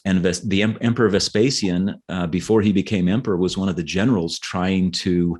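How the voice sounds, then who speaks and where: A man speaking as an author guest in a conversational interview, explaining in a halting way and often repeating words. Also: fairly low pitch, husky. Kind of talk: conversational interview, explaining history and his novels.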